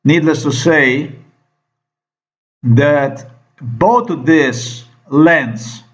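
A man from Slovenia with a Slovenian accent, giving an unscripted monologue in a halting, emphatic and profane manner. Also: on the high side. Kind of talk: unscripted monologue commentary over screen recording. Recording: dull lo-fi microphone sound.

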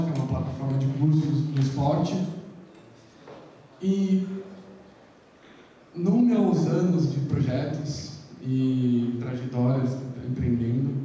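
A man's voice: mid-range voice, warm tone, highs cut off, a little hiss or hum. A man in his thirties from Brazil giving an earnest motivational talk at a fair, with frequent restarts, on a handheld mic. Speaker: speaker at an entrepreneurship fair. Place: large conference room, indoors. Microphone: handheld mic, heard from far off. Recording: echoey hall from far off.

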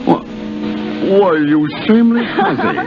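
An actor playing a boyish young Englishman in love, with halting declarations, in a 1930s radio drama. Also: sits high. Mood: excited. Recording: muffled 1930s radio broadcast with music.

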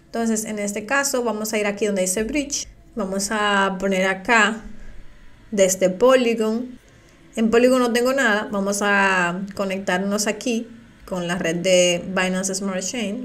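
A woman at a middle pitch guides her viewers through each click of a screen-recorded tutorial. She talks to them directly and patiently, explaining every step as she goes. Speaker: crypto tutorial creator. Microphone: voice-over on screen recording.

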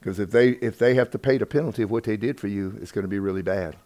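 An older male preacher with a slightly low voice gives an informal sermon. He talks quickly, and the recording has clear background noise and clicks. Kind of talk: informal sermon quoting scripture.

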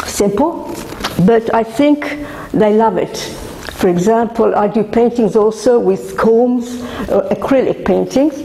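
An older woman, an artist talking casually at a gallery opening, halting with many fillers and restarts. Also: medium pitch, vocal fry, mellow, roomy sound, slight background noise, rough recording. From Australia. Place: indoor gallery room.

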